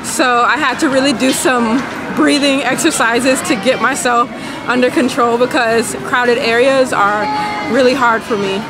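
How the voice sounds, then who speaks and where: A young woman talking candidly to a handheld camera in a casual vlog, soft-spoken with frequent ums, filming on a bus and in a supermarket. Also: fairly high pitch, fairly even intonation, measured pace, over music, rough recording. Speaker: vlogger. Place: busy public places in a city, on a bus and in a crowded supermarket. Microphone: handheld self-filming camera. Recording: loud crowd and street noise. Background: crowd chatter and traffic noise.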